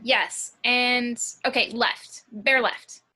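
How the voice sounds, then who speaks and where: A woman's voice: on the high side, steady tone, very slow, sharp tone, full character voice. A woman at an amateur table read playing a sassy, dryly teasing college girl.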